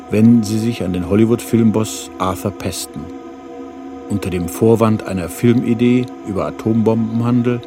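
A man's voice: fairly low pitch, natural voice. A man playing the sober announcer of a radio crime serial, reading a scripted recap. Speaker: announcer and narrator. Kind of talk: scripted radio drama narration. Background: prominent music.

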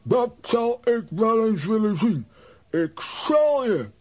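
An actor playing a hot-headed, blustering comic hero with a husky voice in a children's radio play. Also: male voice, high voice, very animated, slow, a little mellow, heavily acted. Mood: indignant. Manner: indignant, theatrical.

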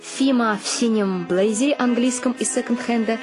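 An actress plays a woman telling the story of her bohemian family in a tragicomic radio play. Her voice is high, and music runs clearly underneath the narration. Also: normal speed, close to an everyday voice. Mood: animated storytelling.

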